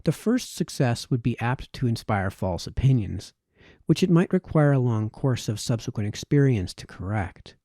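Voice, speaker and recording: A man reading a formal historical text aloud in audiobook style, with measured phrasing and the occasional stumble. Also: medium pitch, animated, dark tone, no room sound, clean studio sound. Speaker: amateur reader of historical texts.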